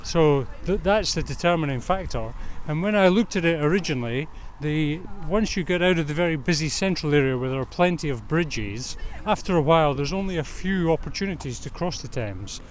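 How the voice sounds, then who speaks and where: A middle-aged Scottish man with a slightly high voice, interviewed on a walk outdoors. He explains things conversationally, with some urban traffic ambience behind him. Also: lively intonation, brisk, few pauses. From Scotland. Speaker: interview guest, walk organiser. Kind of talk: conversational interview while walking. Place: outdoors in a city park.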